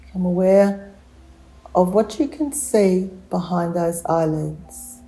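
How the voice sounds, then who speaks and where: A woman leading a guided relaxation meditation, giving gentle, soothing instructions with long unhurried pauses. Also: fairly low pitch, rough recording.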